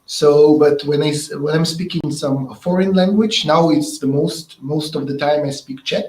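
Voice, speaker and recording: Halting male interviewer, echoey room. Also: a little high, a little mellow. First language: Russian. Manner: halting, searching for words. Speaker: interviewer from the language institute. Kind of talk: casual interview.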